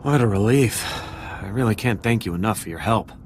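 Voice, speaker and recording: An actor playing an earnest, excitable surveillance technician in a video game. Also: male voice, on the low side, brisk, slight background noise, lightly acted. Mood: relieved.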